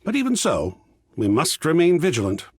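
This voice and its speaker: A man's voice: fairly high pitch, huge pitch swings, breathy edge, dry recording, clean studio sound, heavily acted. A man playing a flamboyant, cultured art curator in a video game, effusive and fussy, with grand theatrical phrasing. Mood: fussy, dramatic. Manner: grandiloquent, dramatic drawn-out phrasing, swooping exclamations. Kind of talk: video game character voice lines.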